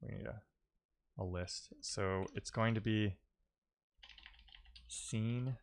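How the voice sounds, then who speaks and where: A man streaming live coding, talking casually and haltingly as he thinks aloud and mutters to himself while he works. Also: on the low side, steady tone, slight background noise.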